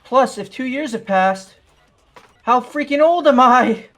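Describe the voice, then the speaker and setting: A man playing an excitable, bewildered young man in a fan-voiced game. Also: extremely high pitch, unhurried, soft background music, exaggerated voice. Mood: flustered. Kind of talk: fan dub of a visual novel game.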